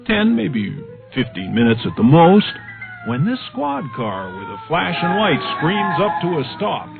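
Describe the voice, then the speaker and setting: A cool young beatnik drifter who talks in easy jive slang with a gravelly edge. His voice rises and falls loosely. It comes through a tinny old radio broadcast with music behind. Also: mid-range voice. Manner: jive slang, laid-back, laughing. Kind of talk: 1950s radio drama acting.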